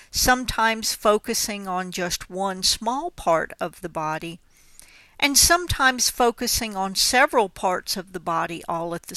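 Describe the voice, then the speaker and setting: A woman guiding a mindfulness meditation with gentle, unhurried, measured phrasing. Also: mid-range voice, no echo. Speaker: meditation guide. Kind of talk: guided mindfulness body scan instruction.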